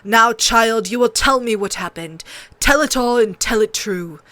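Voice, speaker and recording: A woman's voice: fairly high pitch, unhurried, pushed and bright, no echo. A woman playing an irritable, commanding bearded king in a fantasy audiobook. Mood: stern.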